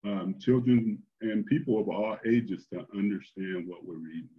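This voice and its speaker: An older man hosting a story time, speaking in a deliberate, measured way as he reads aloud and chats with listeners. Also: fairly low pitch. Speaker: storyteller and host. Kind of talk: expressive read-aloud storytelling, then casual chat. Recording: dull, lo-fi online call audio.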